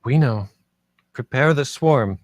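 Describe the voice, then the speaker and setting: A heroic squad leader for a drone mission, read by a male actor at a table read. He is commanding, with a mid-pitched voice in a dry sound. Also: expressive, takes it slowly, no echo. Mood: focused, commanding.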